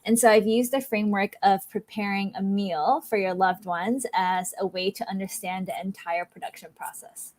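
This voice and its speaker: A woman's voice: medium pitch, steady tone, normal speed, hardly any pauses, darkish tone. A woman from Singapore giving a filmmaking presentation over a video call, upbeat and sprinkled with ums. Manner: upbeat, many ums, talks through slides. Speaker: guest speaker, filmmaker. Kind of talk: presentation to online audience.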